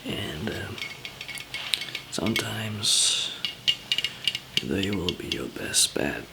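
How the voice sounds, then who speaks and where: A man softly whispering and murmuring in an ASMR roleplay, explaining things gently and haltingly as a survivor. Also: animated, heavy background noise. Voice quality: soft whispering mixed with low murmur, gravelly. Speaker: ASMR roleplayer playing a survivor camp member handing out supplies.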